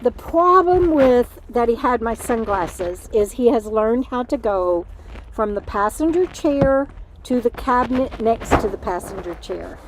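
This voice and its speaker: Middle-aged woman, tired livestream host, rambly and warm-hearted, fairly high voice. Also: animated, measured pace, slight background noise, low-quality recording. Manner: rambling, chatty, talks to her dog mid-stream. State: tired from lack of sleep, voice fading. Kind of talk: casual livestream chat. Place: inside an RV.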